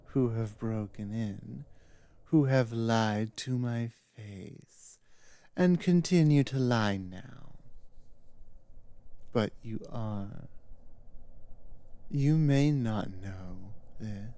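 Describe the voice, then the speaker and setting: A performer playing a powerful, stern giantess captor in an ASMR roleplay, speaking in a deliberate, menacing and condescending way. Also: mid-range voice, dark tone, no room sound. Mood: accusing, smug.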